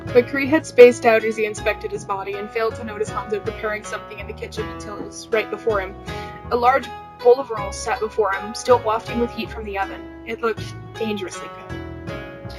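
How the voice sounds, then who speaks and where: A woman's voice: mid-range voice, fairly even intonation, brisk. A woman reading fiction aloud as a podcast host, playful, slipping in wry comments of her own. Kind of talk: informal read-aloud narration with banter. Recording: guitar music behind the voice. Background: plucked guitar music.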